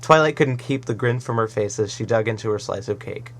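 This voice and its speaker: A man narrating a story in an expressive, animated reading. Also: fairly low pitch, brisk, slight background noise. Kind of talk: audiobook reading of fan fiction. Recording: soft music behind.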